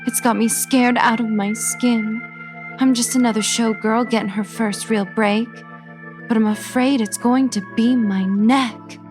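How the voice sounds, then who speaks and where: A woman's voice: fairly high pitch, steady tone, unhurried, over music, close to an everyday voice. An actress playing a worried, earnest showgirl in the melodramatic manner of 1940s radio noir. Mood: frightened, pleading.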